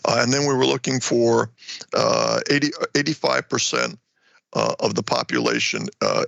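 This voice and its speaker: An older American man giving explanatory interview answers as an academic guest, halting and often repeating words as he goes. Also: mid-range voice, creaky, a bit bright, dry recording. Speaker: retired war college professor, research guest.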